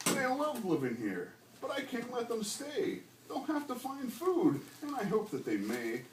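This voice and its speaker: Indignant old male storybook creature, played by a man, high and croaky, emphatic complainer, picked up at a distance in a classroom. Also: wildly swooping pitch, measured pace, exaggerated voice. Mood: sorrowful. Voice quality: coughing, croaky. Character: indignant, scolding old Lorax creature, male, guardian of animals. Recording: roomy, distant mic, faint background noise.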